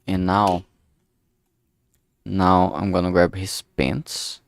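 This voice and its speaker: Slow, creaky-voiced man streaming casually. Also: deep voice, flat delivery. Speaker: streamer making a visual novel. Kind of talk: casual livestream commentary while drawing.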